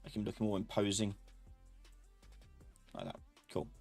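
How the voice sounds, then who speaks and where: A man teaching a live software class, thinking aloud in a casual, informal way as he explains step by step. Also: lowish voice, very animated, a little slow, gravelly, faint music behind.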